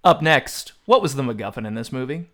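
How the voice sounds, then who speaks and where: A man's voice: medium pitch, big pitch swings, fairly quick, a bit bright. A man hosting a podcast film quiz with joking banter and a mock-formal quizmaster delivery. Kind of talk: comic film trivia banter.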